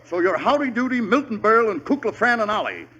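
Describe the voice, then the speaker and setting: An actor playing an excitable, easily exasperated middle-aged American father in a 1940s radio sitcom. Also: sits high, fast, exaggerated voice. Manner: blustery, exclamatory. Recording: muffled noisy old radio recording.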